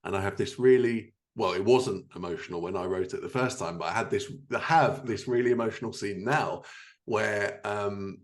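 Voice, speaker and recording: A man's voice: on the low side, normal speed. A man hosting a podcast in a casual, anecdotal chat style, with jokey asides and self-corrections. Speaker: podcast host, fiction writer.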